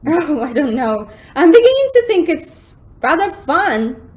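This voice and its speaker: A woman's voice: high voice, very animated, unhurried. A woman playing an impressionable, anxious young lady in a radio drama, with a plaintive, dramatic way of speaking. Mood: tentatively excited. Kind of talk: stage-like radio drama acting.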